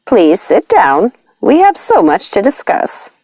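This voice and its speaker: A woman's voice: mid-range voice, wildly swooping pitch, slow pace, darkish tone, no echo, full character voice. A woman voicing a very old, gracious nanny with a courteous, composed manner in a storybook. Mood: cordial.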